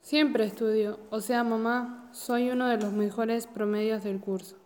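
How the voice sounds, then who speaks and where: Woman acting as sassy schoolgirl, radio drama, higher voice, long reverb. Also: little pitch movement, measured pace, a little mellow, close to an everyday voice. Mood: defensive. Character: cheeky, defiant schoolgirl daughter and sister. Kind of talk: amateur radio play acting. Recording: reverberant recording with faint noise.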